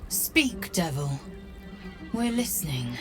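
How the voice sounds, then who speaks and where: Casting: proud female fantasy warrior, commanding, deep for a woman, deliberate, music behind. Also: very animated, full character voice. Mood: stern, resolute. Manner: terse, commanding. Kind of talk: video game character acting.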